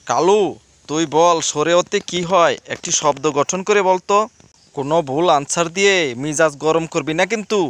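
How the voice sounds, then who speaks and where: A man's voice: fairly high pitch, normal speed, a bit bright, no echo, lightly acted. A man voicing a stern, easily flustered schoolteacher who scolds theatrically in a comedy cartoon.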